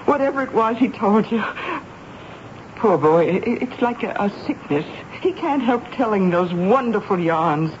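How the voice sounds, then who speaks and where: An actress playing a gentle, doting elderly mother in a radio drama, plaintive and motherly as she exclaims. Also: medium pitch, lively intonation, moderate pace, natural voice. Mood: apologetic, sorrowful. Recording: dull, hissy 1970s radio broadcast.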